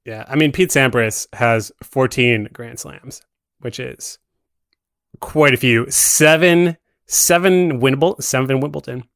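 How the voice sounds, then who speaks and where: Guy guesting on a podcast, mid voice, deadpan casual chat, really dry sound. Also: mid-range voice, measured pace, creaky, no room sound.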